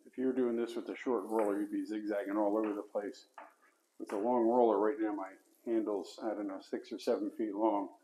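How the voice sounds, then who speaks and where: A man giving casual how-to instructions while he works, talking himself through each step and dropping to quiet mutters. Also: on the low side, fairly even intonation, a little fast, a little hiss or hum, low-quality recording. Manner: talks to himself mid-task, drops to mutters. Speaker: flooring installer demonstrating. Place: basement, indoors.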